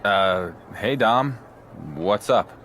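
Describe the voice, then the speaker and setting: A man playing a wary, defiant pro wrestling champion in a video game, speaking in a dry, deliberate way. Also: on the low side, fairly bright tone, a little hiss or hum. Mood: guarded.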